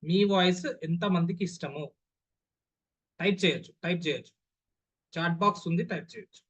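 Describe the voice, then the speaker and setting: A man's voice: a little high, a little fast, a bit bright, highs cut off. A man leading an online voice modulation class over a video call, who keeps putting questions to his listeners and repeating them. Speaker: voice modulation trainer. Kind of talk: interactive online class talk.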